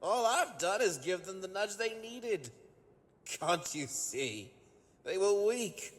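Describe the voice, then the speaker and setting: An actor playing a gloating, obsessive mad scientist in a gothic audio drama, theatrical, taunting and sneering. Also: male voice, sits high, big pitch swings, takes it slowly, full character voice. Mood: manic, exultant. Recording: long hall-like reverb.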